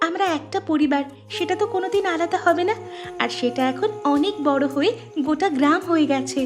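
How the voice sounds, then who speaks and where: A woman's voice: very high-pitched, brisk, over music. A woman voicing a young village daughter-in-law in a cartoon, forgiving and resolute, speaking in an earnest, emphatic way. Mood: reassuring. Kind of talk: Bengali moral cartoon dialogue.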